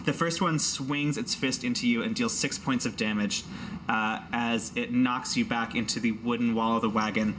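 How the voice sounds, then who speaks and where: A man's voice: mid-range voice, steady tone, moderate pace, noisy background. A man running a tabletop fantasy game, narrating the action with casual, playful, vivid description. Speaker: game master.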